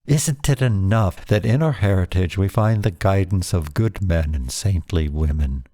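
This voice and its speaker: A man reading his own poem aloud as a scripted recitation, in a solemn, measured manner with deliberate phrasing. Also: low voice, warm tone, no echo, clean studio sound.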